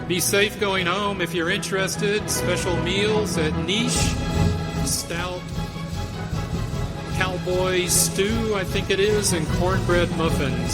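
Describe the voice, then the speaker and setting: A man speaks as host from a theater stage in an informal closing style. His voice sits high for a man, with music playing loudly behind him. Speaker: show host. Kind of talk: informal thanks to a live audience. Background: loud music.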